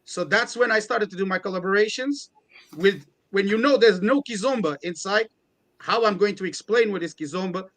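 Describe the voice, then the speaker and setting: Earnest man, high voice. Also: normal speed, fairly bright tone. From Portugal. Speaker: DJ and event organiser. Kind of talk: panel discussion.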